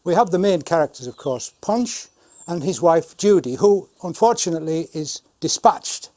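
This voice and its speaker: A man's voice: on the high side, lively intonation, moderate pace, dark tone. A man in his seventies, a retired puppeteer, giving an explanatory talk about his craft. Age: 70s. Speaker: retired Punch and Judy puppeteer.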